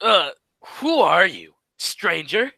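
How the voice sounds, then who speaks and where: A man acts out a bossy straight man from a radio comedy duo. His voice is very high, bright and swooping. He is brisk and scolding, forever exasperated with his partner. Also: sing-song, gravelly, no echo, studio quality, heavily acted. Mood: eager. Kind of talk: radio comedy sketch acting.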